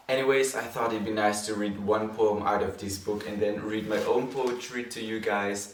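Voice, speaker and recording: A young man with a Dutch accent speaks gently and unhurriedly, in a lowish voice, with hesitant fillers between thoughts. He sounds set back from the mic, and the room around him is echoey. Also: distant mic, a little hiss or hum. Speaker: poetry channel host. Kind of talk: soft spoken-word poetry reading.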